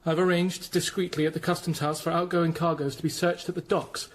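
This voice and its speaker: A man's voice: a little high, level tone, fairly quick, close to an everyday voice. A man playing a discreet, shrewd and respectful Tudor court official in a radio drama. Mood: careful, shrewd.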